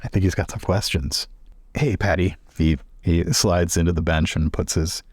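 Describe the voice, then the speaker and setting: Deep-voiced man narrating, close and dry. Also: steady tone, moderate pace, a little mellow, no room sound. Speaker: tabletop game player. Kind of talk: improvised narration of a tabletop game.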